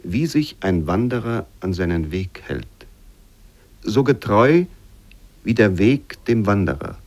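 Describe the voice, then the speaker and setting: A man reading literary narration for a radio play in a measured, carefully phrased storytelling manner. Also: on the low side, big pitch swings, darkish tone.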